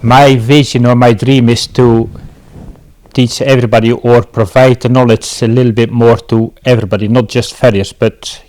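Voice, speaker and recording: A man's voice: medium pitch, level tone, moderate pace, a little mellow. A middle-aged man from Belgium with a Belgian accent, giving casual answers as an interview guest.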